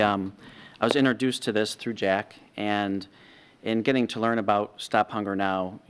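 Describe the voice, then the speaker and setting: Low, even-toned man speaking modestly. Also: smooth. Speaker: community volunteer. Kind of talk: informal personal remarks at a town meeting. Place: town board meeting room.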